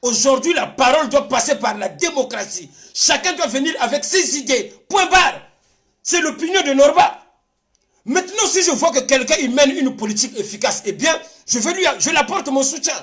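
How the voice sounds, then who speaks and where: A man's voice: extremely high pitch, expressive, moderate pace, fairly bright tone. A man with a Congolese accent giving an impassioned political monologue, insistent and repetitive, switching between languages. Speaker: political commentator.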